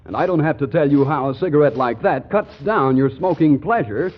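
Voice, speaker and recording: 40s radio announcer guy selling stuff, bit high, lively, muffled old recording. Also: fairly quick. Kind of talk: 1940s radio commercial pitch. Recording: dull old 1940s radio broadcast.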